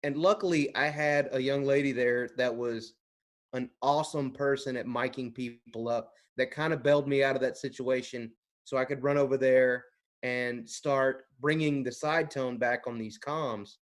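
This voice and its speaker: A man with a medium-pitched voice talks as an audio technician on a panel. His delivery is conversational, like someone sharing experience from the job. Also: fairly even intonation, normal speed. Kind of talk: conversational panel talk with anecdotes.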